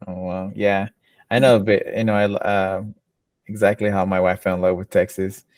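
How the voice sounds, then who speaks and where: A man hosting a casual podcast, his voice low and sitting close and dry on the mic. He is relaxed and filler-heavy, and he likes to joke around. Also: normal speed, no room sound. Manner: laid-back, filler-heavy, jokey. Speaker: podcast host. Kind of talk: casual interview chat.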